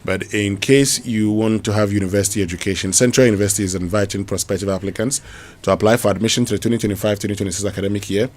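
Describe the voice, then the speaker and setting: A man with a Ghanaian English accent hosting a live morning radio show, reading sponsor ads briskly and then listener questions with fillers. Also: lowish voice, steady tone, a little mellow. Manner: brisk promotional delivery with fillers.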